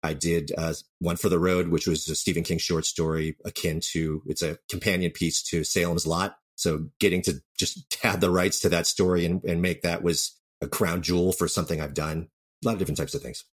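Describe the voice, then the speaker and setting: A man's voice: deep voice, little pitch movement, a little fast, breathy edge. A man speaking casually as an interview guest about his creative work. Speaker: interview guest, screenwriter and producer. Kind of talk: casual interview chat about own career. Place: remote call from home.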